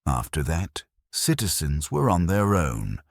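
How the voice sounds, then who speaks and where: A man with an extremely low voice reads a dark sci-fi horror story in the first person. His delivery is grave and measured, with lively movement in pitch. The recording is dry and close, without room sound. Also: dry recording. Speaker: narrator.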